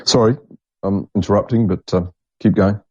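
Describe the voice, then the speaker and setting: Low, mellow man, dull lo-fi recording. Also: steady tone, normal speed, breathy edge. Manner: enthusiastic, repeats himself for emphasis. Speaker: podcast host. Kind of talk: casual chat. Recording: dull lo-fi, dry microphone sound.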